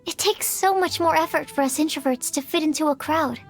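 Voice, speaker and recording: A bookish young female alchemist from a video game, with a very high, girlish pitch. She is earnest and socially awkward, choosing her words with halting care. Soft music plays along behind her. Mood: earnest, reassuring. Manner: hesitant, soft-hearted, halting phrasing.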